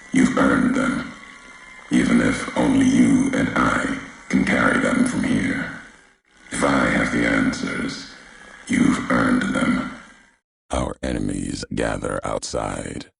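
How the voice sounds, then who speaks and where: Weary brooding wasteland courier from a game, super gravelly, talks slow n cryptic, menacing male voice. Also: extremely low pitch, heavy reverb, heavily acted. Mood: grave, resolute. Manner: slow, cryptic, solemn, clipped phrases. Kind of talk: scripted video game character voice lines.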